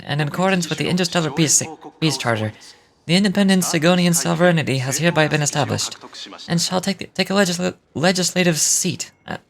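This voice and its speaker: A man reading video game story text aloud, halting and repeating words as he goes. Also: on the high side, creaky, a bit bright.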